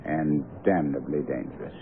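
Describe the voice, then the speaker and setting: Grave, authoritative detective, low and animated, dull radio broadcast sound. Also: male voice, normal speed, a little hiss or hum. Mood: grave, insistent. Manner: precise, authoritative, formal. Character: commanding, brilliant English detective, grave and exacting. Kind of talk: radio drama acting.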